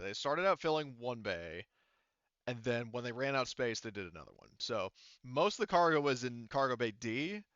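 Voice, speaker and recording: Halting male game master, smooth voice. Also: medium pitch, dry recording, dull audio. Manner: halting, thinking out loud, repeating himself. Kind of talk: running a tabletop roleplaying game, casual explaining.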